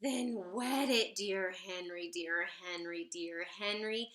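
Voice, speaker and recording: A woman reading a children's picture book aloud in an expressive, theatrical sing-song way. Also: medium pitch, slow pace. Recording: roomy, echoey sound.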